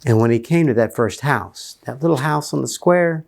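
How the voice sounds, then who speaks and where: A man reading a children's storybook aloud in a theatrical, playful way with drawn-out emphasis. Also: medium pitch, vocal fry, darkish tone.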